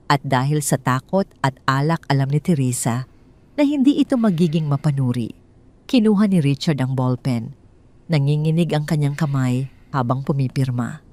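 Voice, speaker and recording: A woman's voice: rumbling low, expressive, moderate pace, warm tone. A woman narrating a scripted audio drama story in a dramatic storytelling style.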